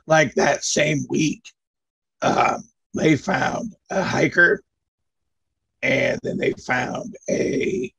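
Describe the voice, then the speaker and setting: A man's voice: fairly high pitch, extremely slow, gravelly, pauses often, sharp tone, husky. A man chatting casually on a call, mostly giving short replies and speaking hesitantly. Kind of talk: casual chat.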